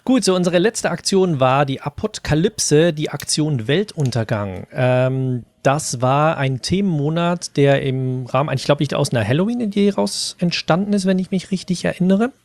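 A man's voice: on the high side, expressive, moderate pace, hardly any pauses, darkish tone, no room sound. A man casually moderating a podcast conversation as its host.

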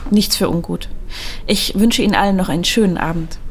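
An actress playing a wry, affectionate woman musician with a husky voice and soft, dryly teasing delivery in a naturalistic audio drama. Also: on the low side, a little fast, slight background noise, close to an everyday voice. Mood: polite, evasive.

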